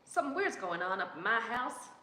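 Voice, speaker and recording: A woman's voice: a little high, sing-song, fairly quick, bright, forceful tone, reverberant, slight background noise. A young woman who grew up in New Zealand, chatting casually and rambling as she co-hosts a livestream with her husband. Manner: chatty, rambling, laughs often. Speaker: co-host of a livestream.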